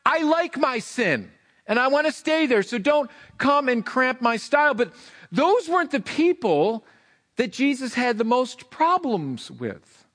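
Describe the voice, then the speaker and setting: A middle-aged man, a hoarse pastor, preaching a sermon in an animated way. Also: a little slow. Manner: animated preaching, rising in pitch for emphasis.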